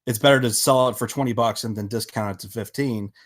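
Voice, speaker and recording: A man in a casual podcast discussion, explaining things in a halting, matter-of-fact way. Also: mid-range voice.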